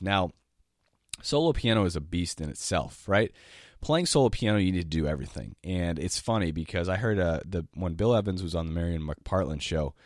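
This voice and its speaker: Very deep-voiced sick man hosting a podcast. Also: darkish tone, dry recording, clean studio sound. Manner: rambling and eager, with many restarts and occasional coughs. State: sick, voice lower than usual. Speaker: podcast host and jazz piano teacher. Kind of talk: casual solo podcast monologue.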